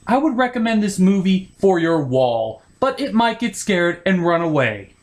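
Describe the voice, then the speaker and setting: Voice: mid-range voice, big pitch swings, normal speed. A puppet show film reviewer giving a partly scripted comedic review in a crude, mock-exasperated ranting manner.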